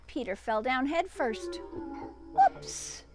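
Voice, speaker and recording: A woman with a high voice reads a children's storybook aloud. Her delivery swings widely in pitch and is full of expression, with chatty asides to young listeners. Music plays behind her. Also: lively intonation, takes it slowly.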